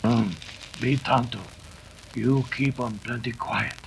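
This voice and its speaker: A watchful, terse cowboy's sidekick from an old radio western, played by a man. He speaks slowly in clipped, broken phrases with a gravelly creak. There is faint noise behind him. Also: mid-range voice, fairly even intonation, low-quality recording. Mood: hushed warning.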